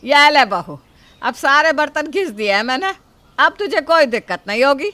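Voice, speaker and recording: A woman's voice: high-pitched, lively intonation, moderate pace, sharp tone, dry recording. A woman playing an older, thrifty mother-in-law, motherly yet scolding, with an emphatic theatrical delivery in a moral story. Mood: soothing. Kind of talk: acted dialogue in a Hindi moral story.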